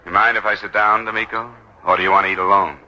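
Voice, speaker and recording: An actor playing an earnest, uneasy hired bodyguard in a 1940s radio drama, talking in a halting, urgent, pleading way. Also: male voice, lowish voice, fairly even intonation, sharp tone. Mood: earnest, probing. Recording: dull vintage 1940s radio recording.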